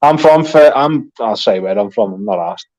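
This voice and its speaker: A man's voice: fairly low pitch, expressive, moderate pace, darkish tone, muffled recording. A man with a Liverpool accent talking in a loose, rambling way in a casual chat, swearing freely. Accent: Liverpool (Scouse). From England. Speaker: guest.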